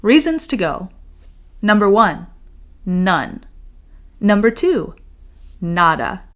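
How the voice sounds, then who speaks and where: A woman's voice: medium pitch, very animated, slow pace. Woman author reading a storybook aloud. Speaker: children's author reading their own book. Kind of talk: expressive storybook read-aloud and friendly chat to young listeners.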